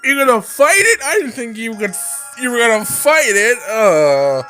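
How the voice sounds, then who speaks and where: A man's voice: extremely high pitch, sing-song, moderate pace, pushed and bright. A man doing a visual novel let's play, reading narration aloud and reacting in slangy, exclamatory casual speech. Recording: prominent background music. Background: music.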